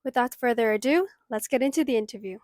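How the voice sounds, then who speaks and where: A woman's voice: fairly high pitch, fast talker, heavy background noise. A woman host reading a polished, upbeat scripted introduction to the show.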